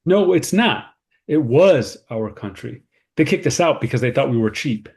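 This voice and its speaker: A man playing an anxious, straight-laced sceptic in a casual table read. His voice is mid-pitched, and he comes across as polite but easily exasperated. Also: animated, moderate pace, darkish tone, lightly acted.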